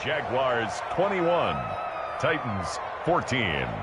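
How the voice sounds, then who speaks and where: A man's voice: medium pitch, big pitch swings, slow, heavy background noise. A man playing a formal stadium announcer in a football video game, making clipped announcements. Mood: formal, proclaiming. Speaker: stadium public address announcer. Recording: stadium announcement under music and crowd. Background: loud music and crowd.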